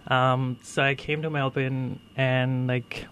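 Young male-register voice, even and hesitant. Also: mid-range voice, slow, smooth. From India. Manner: hesitant, heavy use of "like" and "you know". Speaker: interview guest sharing life story. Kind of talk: casual personal conversation.

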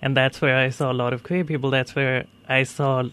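A man's voice: medium pitch, level tone, brisk, fairly bright tone, clean tone. A 24-year-old from India with a male-sounding voice, talking casually about personal experience in a hesitant way, peppered with filler phrases. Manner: hesitant, heavy use of "like" and "you know". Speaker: interview guest sharing life story.

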